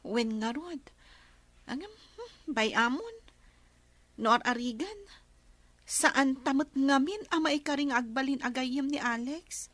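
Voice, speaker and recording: A woman acting a gentle, cheerful young daughter in a radio drama. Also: high-pitched, lively intonation, slow, long pauses, heavily acted. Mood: confiding.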